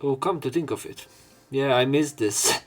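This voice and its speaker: A man's voice: medium pitch, normal speed, faint music behind. A young man hosting a let's play, casually commenting and reading narration aloud in a rambling, hesitant way.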